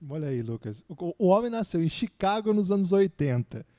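A Brazilian man hosts a casual sports podcast. His voice sits high for a man and swings widely in pitch, giving the talk a very animated feel. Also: normal speed. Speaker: podcast host.

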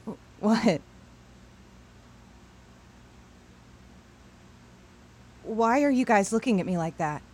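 A woman playing an anxious, confused, apologetic teenage girl in an audio drama, speaking haltingly. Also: mid-range voice, expressive, a little mellow, husky. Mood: alarmed, confused. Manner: halting, stammering.

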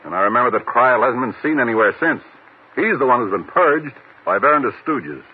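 An actor playing an exasperated, skeptical intelligence chief with a husky voice in a 1950s radio drama. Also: male voice, medium pitch, animated, brisk. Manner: blustery, skeptical. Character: exasperated, gruff male intelligence bureau chief. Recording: lo-fi old radio recording.

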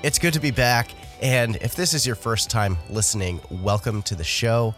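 A man's voice: mid-range voice, normal speed, faint music behind. A man hosting his podcast in a casual, chatty way, sounding nasal and stuffed up from a cold. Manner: relaxed, chatty, frequent uh fillers. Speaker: podcast host. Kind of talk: casual solo podcast chat.

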